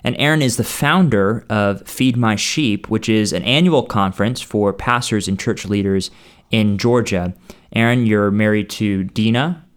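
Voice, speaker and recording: A man's voice: on the low side, a little slow, clear, resonant tone. A man speaking as a podcast host, interviewing a guest in a friendly, conversational way.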